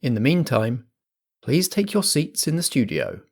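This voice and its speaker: British guy hosting an improv radio show, mid pitch, measured and a bit mock-formal, theatrical. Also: wildly swooping pitch, a little hiss or hum. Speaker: host and game master. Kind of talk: theatrical scripted narration and improvised radio comedy.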